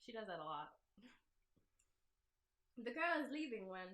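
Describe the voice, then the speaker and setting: A woman's voice: on the high side, big pitch swings, measured pace, fairly bright tone, echoey room. A woman doing a casual, giggly let's-play of a visual novel, switching between informal self-deprecating asides and reading the story text aloud. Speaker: game creator playing through own visual novel.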